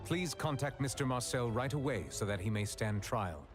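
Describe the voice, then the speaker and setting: A man playing a stern judge in a fantasy video game, speaking in a formal, measured, authoritative way. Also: medium pitch, expressive, music in the background, close to an everyday voice. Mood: solemn, formal. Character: stern, formal male judge presiding over a fantasy courtroom.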